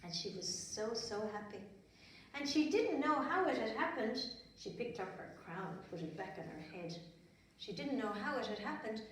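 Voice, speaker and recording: A woman's voice: fairly high pitch, animated, normal speed. An Irish-accented woman telling stories to young children in simple, repetitive phrases with chatty asides. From Ireland. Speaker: children's storyteller. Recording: very echoey room, distant mic, faint noise.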